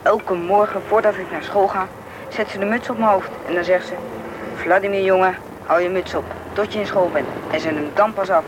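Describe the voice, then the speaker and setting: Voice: medium pitch, steady tone, brisk, lightly acted. A young male performer playing a cautious, obedient schoolboy in a radio drama. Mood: earnest, chatty. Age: young teen. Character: cautious schoolboy friend, obedient to his grandmother. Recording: radio play with noisy outdoor ambience.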